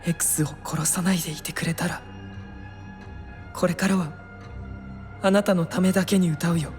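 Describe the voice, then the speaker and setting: A woman playing a gentle young male singer in an audio drama, speaking softly and hesitantly with earnest pleading. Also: fairly low pitch, fairly even intonation, normal speed, faint music behind, natural voice. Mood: soft resolve.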